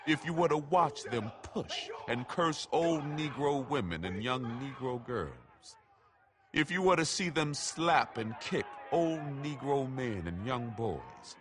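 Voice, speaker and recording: A man giving a measured, grave, oratorical reading of a written letter. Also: medium pitch, animated, noisy background.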